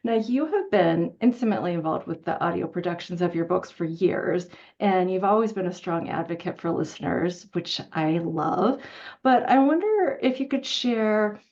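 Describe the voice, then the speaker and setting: A woman's voice: on the low side, lively intonation, normal speed, darkish tone. Enthusiastic woman, dull lo-fi sound. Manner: enthusiastic, informal. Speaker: interviewer, event moderator. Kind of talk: conversational hosting of an author discussion. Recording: band-limited, dull lo-fi sound.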